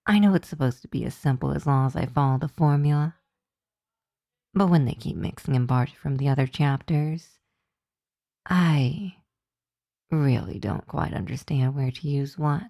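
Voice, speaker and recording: Woman doing a teasing vampire college girl, asmr roleplay, mellow slow voice, very dry close sound, playful. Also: low-pitched, no echo. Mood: reluctant, earnest. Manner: playful, teasing, drawn-out delivery with breathy asides.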